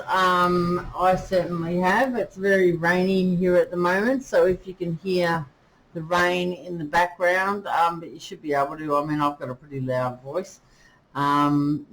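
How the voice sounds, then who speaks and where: A woman giving a casual tarot reading, chatty and full of fillers, pausing to ask her spirits and guides as she draws cards. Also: fairly low pitch, poor audio. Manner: chatty, many fillers, talks to spirits while drawing cards. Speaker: tarot reader. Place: indoors with rain outside. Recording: faint rain noise behind.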